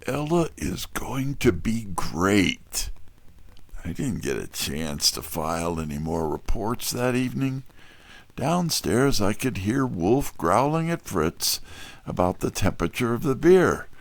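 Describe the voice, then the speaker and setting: Wry detective narrator male actor, audio drama. Mid-pitched, gravelly fry, dry close recording. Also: big pitch swings, takes it slowly, no room sound, full character voice. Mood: wry narration.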